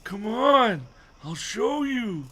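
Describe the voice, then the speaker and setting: A man voicing a creepy, frightened old male neighbor from a storybook, urgent and drawn-out. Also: high voice, very animated, bright, forceful tone, pitched above the performer's own voice, exaggerated voice. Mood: anxious.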